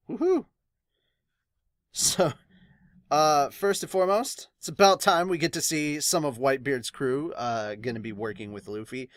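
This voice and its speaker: A man gives a casual, rambling review in an excitable way, often breaking into laughter. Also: medium pitch, dry recording, studio quality. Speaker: host of a manga review channel. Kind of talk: casual reaction and review talk.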